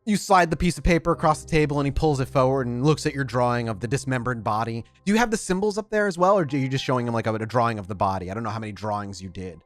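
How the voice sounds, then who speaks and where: A man's voice: mid-range voice, racing, hardly any pauses, soft background music. Man narrating a tabletop game. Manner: descriptive, conversational storytelling. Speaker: game master.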